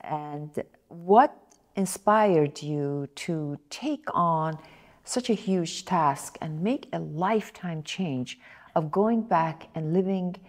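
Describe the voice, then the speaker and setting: A woman interviewer asking long questions in a halting, hesitant way full of fillers. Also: low voice.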